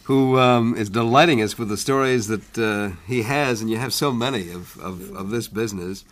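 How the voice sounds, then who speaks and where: A man's voice: lowish voice, normal speed, a bit bright. A man hosting a radio interview show, speaking conversationally as he introduces and talks with a guest. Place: radio studio.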